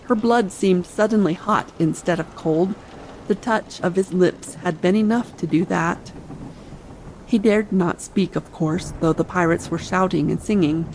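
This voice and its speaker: A woman's voice: lowish voice, a little mellow, low-quality recording. A woman narrating a dramatic audiobook reading meant for late-night listening, over sea ambience. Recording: faint ambient sea noise behind.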